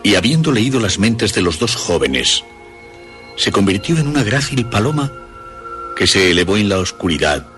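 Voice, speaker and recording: Deep-voiced male horror narrator, animated intonation. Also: soft background music. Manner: measured, dramatic storytelling. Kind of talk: scripted horror story narration for radio.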